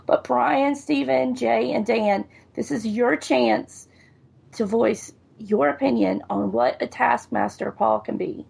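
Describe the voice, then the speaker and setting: A woman hosting a podcast, drawing her guests out with teasing and easy laughter. Her voice is heavily gravelly and husky, and she talks at an unhurried, drawn-out pace. It sits a little high for a woman. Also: a little mellow. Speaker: podcast host. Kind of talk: casual interview chat.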